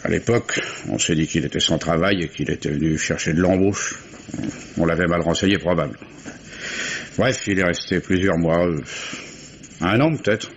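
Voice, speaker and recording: An actor playing a gruff, guarded old oyster fisherman in a 1950s radio drama, talking in a folksy, grumbling way. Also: deep voice, normal speed. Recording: muffled old radio recording with faint hiss.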